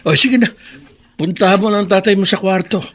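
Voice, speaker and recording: A man playing a gentle elderly grandfather in a radio drama. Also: high voice, normal speed. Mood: homely. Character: elderly grandfather, warm and welcoming.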